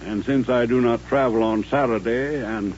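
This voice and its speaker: Actor as courtly colonel, muffled old radio. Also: male voice, mid-range voice, a little mellow, a little hiss or hum, natural voice. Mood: courteous, earnest. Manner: formal, courteous, measured. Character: courtly, dignified older Southern colonel turned rancher. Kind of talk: old-time radio drama acting.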